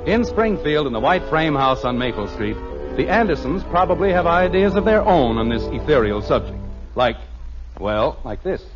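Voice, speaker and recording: A man's voice: fairly high pitch, very animated, moderate pace. An American man giving scripted announcing and wry narration in 1950s radio style. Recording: muffled old radio broadcast with music.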